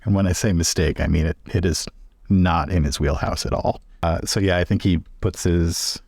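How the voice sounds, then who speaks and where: A man's voice: low voice, steady tone, moderate pace, gravelly, darkish tone, no echo, clean studio sound. A man playing a tabletop game, casually improvising and describing what his character does in the third person.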